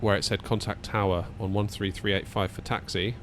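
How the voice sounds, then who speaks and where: A man's voice: lowish voice, steady tone, a little fast. A man giving casual flight simulator commentary, thinking aloud in a chatty way as he hosts. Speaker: flight simulator video host. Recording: faint background noise.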